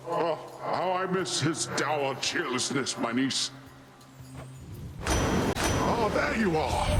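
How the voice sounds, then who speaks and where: Loud music plays behind a jovial, self-important male sea god from a video game. His voice sits a little high and swings widely in pitch. He is theatrical and teasing, a grand but goofy uncle full of breezy asides.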